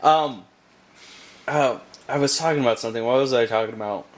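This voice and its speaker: A man's voice: medium pitch, a little fast. A young man vlogging casually into a handheld camera, rambling and losing his train of thought. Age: young adult. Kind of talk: casual chat.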